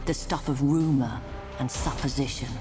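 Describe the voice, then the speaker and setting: Grave low-voiced woman narrating. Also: normal speed, over music. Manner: grave, solemn and ominous. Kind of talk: scripted cinematic narration. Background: dramatic orchestral score.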